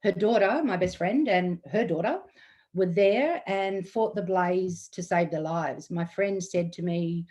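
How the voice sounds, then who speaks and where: A woman in her fifties from Australia, telling a personal story in a talk, earnest and close to tears, slightly croaky. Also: fairly low pitch, a little slow, a little mellow. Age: 50s. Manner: earnest and emotional, with frequent ums. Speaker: guest storyteller.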